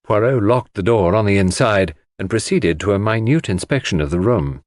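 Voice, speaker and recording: A man's voice: lowish voice, animated, moderate pace, no room sound, studio quality. A man narrating a story in the first person as a scripted audiobook.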